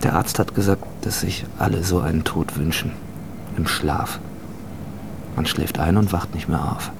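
Deep, gravelly, quietly menacing stranger. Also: male voice, measured pace, noisy background. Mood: matter-of-fact. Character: calmly menacing, philosophising male stranger. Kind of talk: German radio drama acting.

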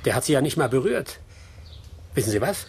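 Ein Schauspieler spielt in einem Hörspiel einen direkten, brummigen Ermittler, der knapp formuliert. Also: male voice, mid-range voice, big pitch swings, fairly quick, creaky, a little mellow, husky, full character voice. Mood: blunt. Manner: terse, blunt. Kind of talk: German radio play acting.

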